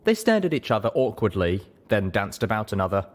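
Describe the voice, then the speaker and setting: Man, audiobook reader, scripted dramatic narration, lowish pitch, animated intonation. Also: a little fast.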